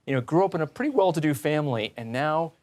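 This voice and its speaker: A man's voice: fairly high pitch, lively intonation, fast, a little mellow. A man hosting a conversational interview, speaking in an enthusiastic, emphatic and affirming way. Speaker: talk show host.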